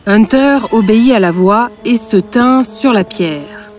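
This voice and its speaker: A woman's voice: on the high side, a little slow, a little mellow. Woman narrating a fairy tale over music.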